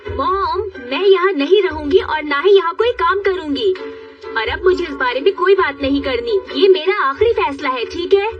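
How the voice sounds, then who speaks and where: A woman's voice: very high-pitched, animated, moderate pace. A woman playing an earnest, exasperated adult in a Hindi family cartoon dub, speaking emphatically. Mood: defiant. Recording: dull, distant audio with background music.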